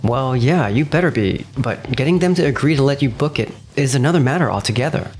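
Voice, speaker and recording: A man's voice: medium pitch, animated, fairly quick, a little mellow, faint music behind, lightly acted. A man playing an earnest, responsible young businessman in a manga dub, narrating and speaking in character. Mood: composed, firm. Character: earnest, responsible 29-year-old businessman narrating his betrayal.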